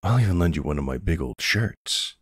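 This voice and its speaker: A man playing a tender, protective older ex-soldier boyfriend with a husky, gravelly voice in an intimate ASMR roleplay. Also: sits low, animated, no room sound, clean studio sound. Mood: fond, teasing. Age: middle-aged or older character. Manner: affectionate teasing, hesitant pauses, soft reassurance.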